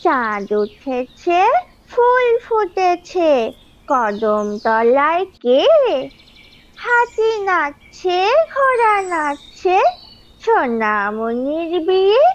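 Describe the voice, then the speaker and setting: A woman acts out a tiny boy doll in a puppet show, fussy and unsure. The voice is extremely high and drags childishly through simple phrases, on a slightly dull recording. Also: sing-song, drawn-out words, heavily acted. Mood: hesitant, childlike. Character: little boy doll, younger brother, fussy and hesitant.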